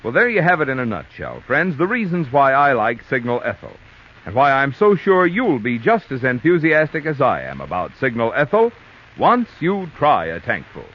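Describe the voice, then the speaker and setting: Animated vintage radio pitchman. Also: normal speed. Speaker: commercial announcer. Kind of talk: 1940s radio advertisement, folksy testimonial. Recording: muffled, faintly noisy old radio recording.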